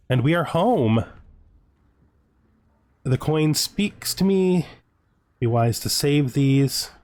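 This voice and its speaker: A man giving casual video game commentary, thinking aloud as he deliberates his choices. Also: medium pitch. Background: music.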